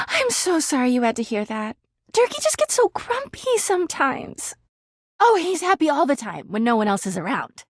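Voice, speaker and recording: A woman's voice: high-pitched, sing-song, moderate pace, no room sound, clean studio sound. A woman voicing a clingy, jealous talking laundry hamper in a video game, gushing sweetly and flipping into bitter drama. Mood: apologetic, coy. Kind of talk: video game character voice acting.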